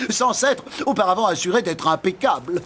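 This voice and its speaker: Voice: mid-range voice, very animated, unhurried, heavy background noise. An actor voicing a vain, self-important male dung beetle in a cartoon, with pompous, theatrical, courtly delivery. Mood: flustered, polite. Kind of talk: animated cartoon voice acting.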